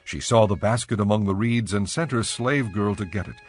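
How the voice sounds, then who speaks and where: A man narrating a dramatized scripture reading as a measured storyteller. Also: fairly low pitch.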